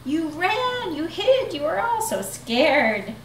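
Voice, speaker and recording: A woman voicing a boastful young male dinosaur who pranks his friends, with shrill excited shouting. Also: slow pace, slight background noise, exaggerated voice. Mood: teasing. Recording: echoey room, mic at a distance.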